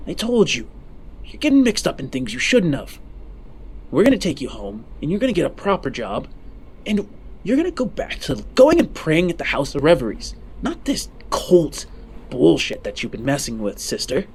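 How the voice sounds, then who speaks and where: A man's voice: on the high side, huge pitch swings, moderate pace, darkish tone, breathy edge, pitched above the performer's own voice, full character voice. A man voicing a stocky, scolding working man in a tabletop game, bossy and impatient. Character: stocky working man, gruff scolding older-brother type.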